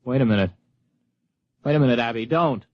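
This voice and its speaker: A man's voice: mid-range voice, brisk, no room sound, natural voice. An actor playing a scheming but polite city man in a radio drama, anxious, hesitant and easily flustered. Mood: panicked, pleading. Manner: hesitant, exclamatory. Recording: dry, dull radio-drama recording.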